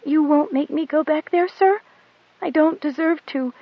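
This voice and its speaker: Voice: high voice, measured pace, no echo, dull audio, pitched above the performer's own voice. An audiobook narrator voicing a timid, self-abasing young girl who pleads fearfully. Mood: fearful pleading.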